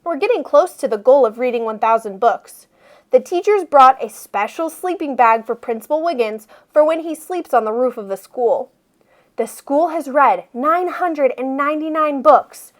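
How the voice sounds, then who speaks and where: A woman reading a children's picture book aloud expressively, with deliberate phrasing for young listeners. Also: high-pitched, lively intonation. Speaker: read-aloud storyteller.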